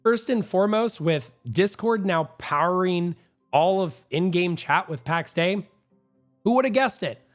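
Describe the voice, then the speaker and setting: A young man hosting a gaming news show, hoarse and a bit nasal from a cold, talking in an upbeat, talkative and self-assured way. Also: high voice, lively intonation, unhurried, soft background music. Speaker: gaming channel host. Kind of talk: energetic gaming news commentary.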